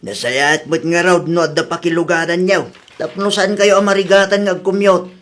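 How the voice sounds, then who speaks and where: An actor voicing an old husband in a radio drama who talks slowly and calmly. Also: medium pitch, lightly acted. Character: old husband who runs a rice store. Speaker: store owner's husband. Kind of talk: Ilocano radio drama acting.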